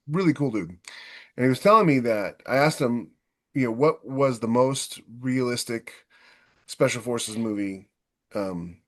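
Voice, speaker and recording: A man co-hosting a podcast, talking in a casual, conversational way. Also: fairly low pitch. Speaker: podcast co-host. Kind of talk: casual chat.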